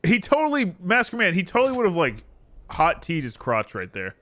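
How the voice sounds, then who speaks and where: A man's voice: high-pitched, normal speed. A young man streaming a game at home, chatting casually and cursing at the characters on screen. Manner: excitable, swears at game characters. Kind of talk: casual livestream chat over gameplay. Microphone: studio mic through a mixer.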